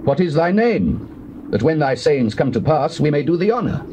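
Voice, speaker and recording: A man's voice: fairly high pitch, big pitch swings, moderate pace. A man playing a devout, anxious husband and father in a scripture drama, speaking in a formal, pleading, theatrical way. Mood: earnest. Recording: noisy, hissy old cassette tape.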